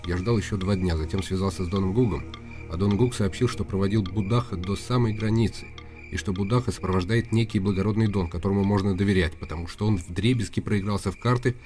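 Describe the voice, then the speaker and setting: A male actor as an impassioned young nobleman in a radio play, with a lowish voice that drives forward quickly. He is earnest, impatient and insistent, and music plays clearly behind him. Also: natural voice. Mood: grave, reporting. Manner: earnest, insistent, formal address. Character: passionate young nobleman, a secret observer, indignant and impatient. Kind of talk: radio drama acting.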